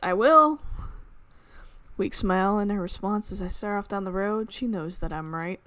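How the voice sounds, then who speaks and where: A woman's voice: mid-range voice, fairly even intonation, a little fast. A woman doing playful let's-play commentary and reading visual novel text aloud, with bubbly little repeats and sound effects. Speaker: gaming YouTuber.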